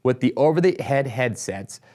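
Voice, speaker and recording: A man's voice: medium pitch, expressive, moderate pace, dark tone. A man presenting a retail product walkthrough in a casual, explanatory sales manner.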